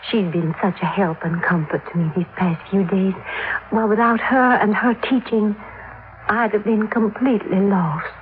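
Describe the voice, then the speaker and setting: An American actress playing a middle-aged, grieving, gentle mother who believes devoutly in spirits, genteel and earnest, in a 1950s radio drama. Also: medium pitch, normal speed, close to an everyday voice. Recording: tinny, noisy 1950s radio recording.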